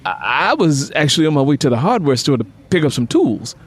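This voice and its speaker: An eager, obliging handyman from an audiobook story, as a male narrator plays him. He is casual and a little bashful, with a husky tone and animated rises in pitch. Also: fairly quick. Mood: sheepish. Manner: hesitant starts, casual and obliging. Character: eager, good-natured, slightly bashful handyman.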